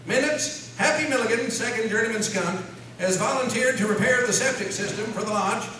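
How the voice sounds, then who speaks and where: A man's voice: very high voice, a little fast, pushed and bright. A man playing an eager, chatty lodge secretary in a comedy radio sketch, reading out minutes in a folksy, fussy way. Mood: officious. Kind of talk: live comedy radio-show sketch acting. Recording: echoey hall, distant mic, faint noise.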